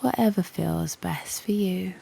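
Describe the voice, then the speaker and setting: British woman, meditation guide, heavy vocal fry, breathy half-whisper, soothing, faint birdsong behind. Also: fairly low pitch. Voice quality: breathy, often near a whisper. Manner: soothing, unhurried, long pauses between short phrases. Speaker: meditation guide and channel host. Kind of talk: slow guided yoga nidra meditation. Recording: faint background noise with birdsong. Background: birdsong and soft nature ambience.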